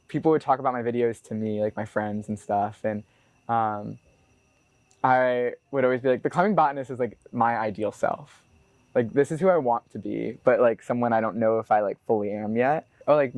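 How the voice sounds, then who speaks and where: A young American man in his twenties speaks at an ordinary middle pitch, his voice dry and close with no room around it. He talks in a loose, casual way, dotting his thoughts with "like" as he reflects. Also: a little mellow, no echo. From United States. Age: 20s. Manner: casual, loose, full of "like" fillers. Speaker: botanist and climber being profiled. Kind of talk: reflective documentary interview.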